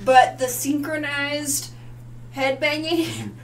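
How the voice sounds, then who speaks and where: Woman, thirties, casual reaction host. Fairly high voice, gushing and emphatic, a bit off-mic with faint hum. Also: little pitch movement, slow, recorded from a distance, soft background music. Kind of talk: casual chat, music reaction. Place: small home room, indoors.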